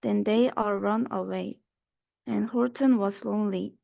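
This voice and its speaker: A woman's voice: medium pitch, steady tone, a little slow, gravelly, dark tone, no echo. A young woman reading a children's rhyming story aloud. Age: young adult. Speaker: student reader.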